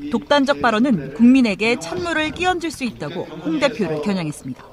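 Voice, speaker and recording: A woman reading a political news report in broadcast style. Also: a little high. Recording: broadcast clip with crowd noise.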